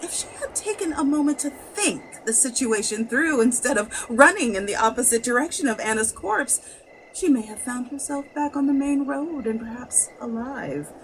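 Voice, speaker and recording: Female narrator for scripted horror drama, highly expressive, higher register, over noisy background. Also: moderate pace. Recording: clear background noise.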